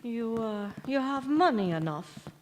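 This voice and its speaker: A loyal, tender older confidante in a vintage radio play, acted by a woman. She talks slowly and fondly, her voice carrying long hall reverb. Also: a little high, animated, slight background noise. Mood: reassuring, reflective. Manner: fond, sympathetic. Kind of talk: 1940s radio drama acting on stage.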